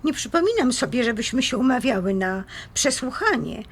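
High-voiced, icily polite hostess. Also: female voice, big pitch swings, moderate pace, husky, heavily acted. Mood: icy, firm. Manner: formal, icily polite, firm. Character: proud, composed woman defending her own home. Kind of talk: audio drama acting.

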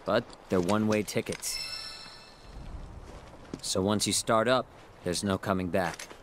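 An actor playing a guarded, cool, quietly determined young street tough in a video game, speaking matter-of-factly. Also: male voice, on the low side, fairly even intonation, measured pace, noisy background, close to an everyday voice. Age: young adult. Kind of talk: dramatic video game acting.

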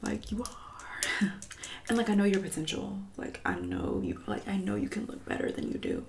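A woman in her twenties doing an ASMR roleplay as a catty hairdresser friend, soft-spoken and often whispering, with condescending, passive-aggressive delivery. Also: medium pitch, huge pitch swings, gravelly, background noise. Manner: condescending, passive-aggressive, drawn-out backhanded remarks.

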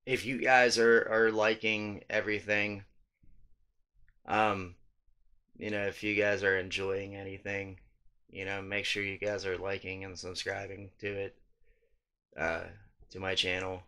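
A man's voice: deep voice, level tone, measured pace, pauses often, sharp tone. A man in his thirties vlogging late at night in a small room, rambling with many ums and restarts and casual swearing. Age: 30s. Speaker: YouTuber. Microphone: webcam or handheld phone at close range.

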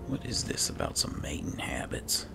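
A man murmuring low-key game commentary, thinking aloud and reading bits as he plays. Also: moderate pace, over loud music. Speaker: gamer host playing and roleplaying a fantasy game. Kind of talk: casual game commentary with read-aloud notes.

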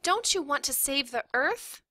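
A woman voicing an excitable, defiant ten-year-old girl in a story, indignant, dramatic and argumentative. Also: high-pitched, a little slow, dry recording, studio quality, pitched above the performer's own voice, exaggerated voice.

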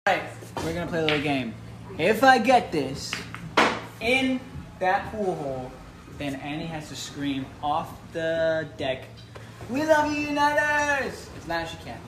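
A young man giving a playful, excitable house tour, recorded on a phone held at arm's length. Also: a little high, measured pace, background noise. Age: young adult. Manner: excitable, playful, swings between loud and hushed. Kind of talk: casual selfie vlog. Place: outdoors and in rooms of a hillside island house.

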